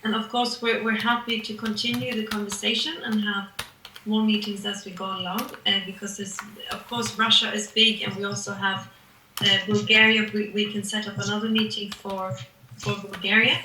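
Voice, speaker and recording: A woman hosting an online meeting over a video call, talking in a halting way with many restarts. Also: medium pitch, little pitch movement, bright, forceful tone, a little hiss or hum. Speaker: meeting host and coordinator. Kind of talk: online group meeting, casual. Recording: echoey distant video call audio.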